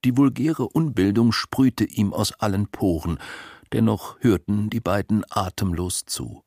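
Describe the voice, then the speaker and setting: A man narrating a literary story from a script in an expressive, measured storytelling manner. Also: fairly low pitch, no echo, studio quality.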